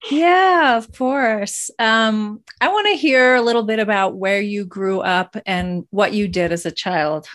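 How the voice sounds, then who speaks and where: A woman's voice: medium pitch, unhurried, few pauses, fairly bright tone. A woman from Texas hosting an interview podcast, reading a scripted intro and then chatting with a guest. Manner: reads intro evenly, then chatty and laughing. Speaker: podcast host.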